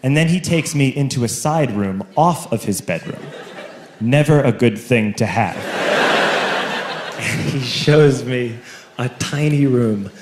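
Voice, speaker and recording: A man doing animated stand-up comedy storytelling, acting out the dialogue for a live audience. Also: medium pitch, unhurried. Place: on stage in a large venue. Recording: echoey live venue with audience noise. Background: laughing crowd.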